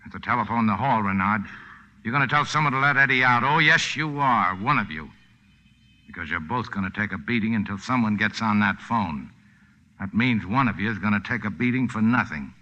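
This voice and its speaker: An actor in a 1940s radio drama playing a tough, sardonic boat captain with a clipped, commanding, deadpan delivery. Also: male voice, lowish voice, a little fast. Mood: menacing, curt. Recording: muffled old radio broadcast recording.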